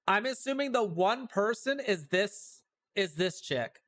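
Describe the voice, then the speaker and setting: A man's voice: sits high, expressive, unhurried, fairly bright tone. A man giving livestream reaction commentary on a game, talking to himself and guessing aloud. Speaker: game streamer. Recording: music behind the voice.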